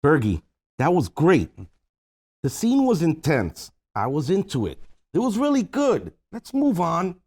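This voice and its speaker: Budget-stressed producer acted by a man, highish voice, lively swings, coaxing and pushy, comedy screenplay read. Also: a little slow, husky, exaggerated voice. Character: pushy, pleading male film producer worried about budget.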